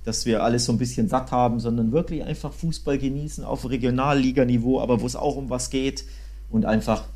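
A man's voice: mid-range voice, fairly even intonation, fast talker, mellow. A man talking casually and enthusiastically, as a football podcast co-host. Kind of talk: casual football chat, recounting a trip.

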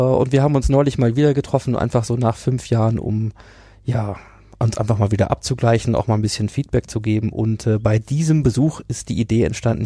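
A man in his forties speaks with a mellow, mid-pitched voice in a close, dry recording. He hosts a podcast interview conversationally, with halting phrasing that circles toward its questions. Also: vocal fry, no echo. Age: 40s.

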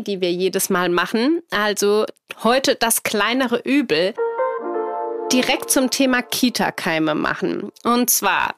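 A woman in her thirties co-hosting a parenting podcast, hoarse from a cold, chatty and self-deprecating. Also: mid-range voice. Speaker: podcast co-host. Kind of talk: casual podcast chat.

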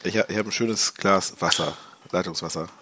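A man co-hosting a casual football podcast, a little hoarse from a cold, rambling and hesitant with many fillers. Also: low-pitched, a little fast, pushed and bright, dry recording. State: slightly under the weather, a bit hoarse. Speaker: podcast co-host.

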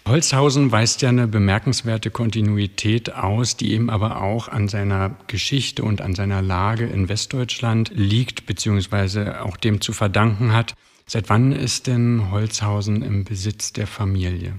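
A man with a fairly low voice hosts the podcast, asking polite and measured questions. His voice rings with a long reverb from the room. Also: steady tone. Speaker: podcast host. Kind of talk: interview questions.

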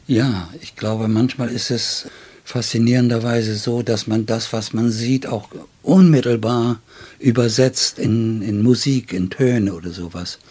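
A man in his seventies speaking reflectively as an interview guest, phrasing deliberately and haltingly with ornate word choices. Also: mid-range voice, fairly even intonation, mellow, husky. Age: 70s. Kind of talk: reflective literary conversation.

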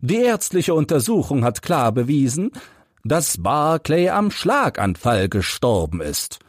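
A man voicing a brisk, self-assured military major in an audiobook. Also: medium pitch, sing-song, dry recording, studio quality, pitched above the performer's own voice. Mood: brisk, smug. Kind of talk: audiobook character reading.

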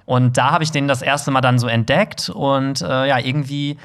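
A German man co-hosting a podcast in casual conversation. He talks in a relaxed, agreeable way and drops joking asides. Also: medium pitch, moderate pace. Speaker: podcast co-host.

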